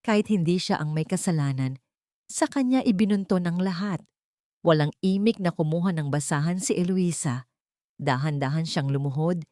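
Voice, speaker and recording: A woman's voice: fairly low pitch, moderate pace, warm tone, no echo. A woman storyteller reading a scripted, dramatic narration of a love story.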